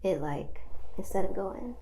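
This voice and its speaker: A woman from Virginia speaks as a guest on a talk show at a medium pitch. She keeps it casual and laid-back, answering in short, blunt, slangy phrases. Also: animated, unhurried, warm tone, a little hiss or hum. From Virginia, United States. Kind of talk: casual interview chat.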